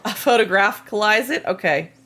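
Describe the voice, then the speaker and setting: A woman with a slightly hoarse, gravelly voice, chatting casually with viewers on a game live stream while reading and answering chat. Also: mid-range voice, moderate pace, a bit bright. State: slightly hoarse, losing her voice.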